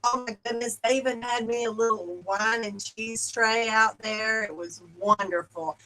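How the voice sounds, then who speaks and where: A woman's voice: fairly high pitch, fairly even intonation, moderate pace, pushed and bright, poor audio. A woman guest on a podcast, telling stories in casual interview chat over a video call. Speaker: podcast guest, church leader. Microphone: remote video call.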